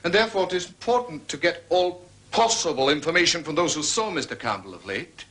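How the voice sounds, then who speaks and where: Dogged inspector, period mystery: rough-edged husky tone, forceful, animated intonation, methodical and formal. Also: male voice, a little high, a little fast. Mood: official, probing. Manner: ponderous wording, methodical questioning. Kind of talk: period detective drama acting.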